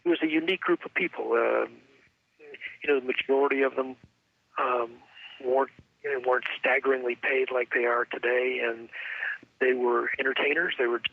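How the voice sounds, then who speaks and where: A man's voice: medium pitch, steady tone, brisk, creaky, long pauses, narrow, tinny audio. An older man with an American accent, casually reminiscing as an interview guest over a thin line. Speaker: convention guest.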